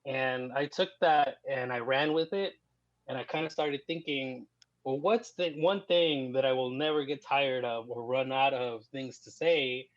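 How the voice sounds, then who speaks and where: A man's voice: mid-range voice, a little slow, no echo. A man in his thirties from Mexico, guest on a podcast, talking at an easy rambling pace with many fillers. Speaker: guest writer. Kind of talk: casual interview. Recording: muffled dry lo-fi.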